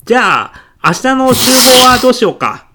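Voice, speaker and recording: A man's voice: extremely high pitch, big pitch swings, moderate pace, noisy background. A man playing a mild, absent-minded department manager in a comedic audio drama, with an agreeable, slightly pompous managerial manner. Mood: distracted.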